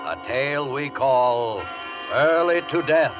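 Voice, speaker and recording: A man's voice: medium pitch, takes it slowly. A man introducing a program as a 1950s radio announcer, formal and dramatic. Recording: dull vintage radio broadcast over music.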